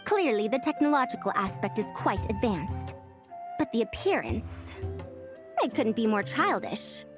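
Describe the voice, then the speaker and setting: A woman's voice: on the high side, animated, measured pace. A woman voicing a proud, fussy, youthful-looking senior scholar who lectures emphatically in an anime-style video game. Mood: lecturing. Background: background music.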